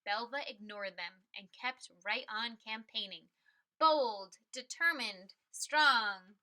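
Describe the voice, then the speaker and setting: A woman reads a picture book aloud in a fairly high, bright voice. Her delivery is expressive and forceful. She phrases dramatically and puts clear emphasis on key words. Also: takes it slowly, poor audio. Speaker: read-aloud storyteller.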